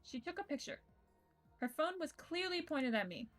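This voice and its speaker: A woman with a fairly high voice reads story narration aloud as she streams a game. Music plays under her reading. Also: animated, moderate pace.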